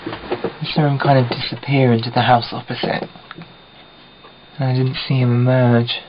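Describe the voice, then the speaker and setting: A young British man with a croaky voice, chatting casually to camera at home, rambling and often dropping into a whisper. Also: medium pitch, little pitch movement, moderate pace. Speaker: vlogger. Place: at home by a window. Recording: dull lo-fi home video with faint hiss.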